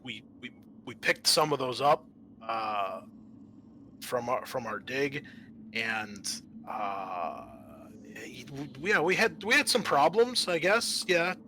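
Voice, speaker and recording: A man's voice: medium pitch, sing-song, takes it slowly, sharp tone, slight background noise, exaggerated voice. Man playing a sheepish, stammering crewman. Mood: embarrassed. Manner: stammering, hesitant mumbling. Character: sheepish, evasive man reluctant to lead.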